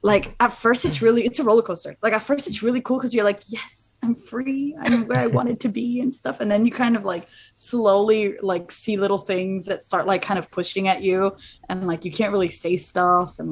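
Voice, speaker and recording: A woman's voice: mid-range voice, fairly even intonation, normal speed, husky. Rambling young woman in casual chat. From Miami, USA. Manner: rambling, heavy use of "like". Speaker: guest speaker, student abroad.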